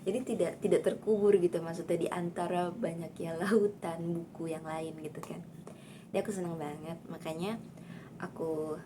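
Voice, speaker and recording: A young woman author casually chatting about her own book in an upbeat, filler-heavy way. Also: lowish voice. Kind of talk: casual book talk vlog. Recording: audible background noise.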